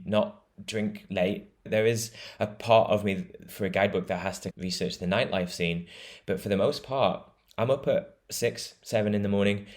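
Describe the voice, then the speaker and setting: A British man being interviewed as a travel writer, talking in an enthusiastic, explanatory way and laughing easily. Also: on the low side. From Britain. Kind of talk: conversational interview.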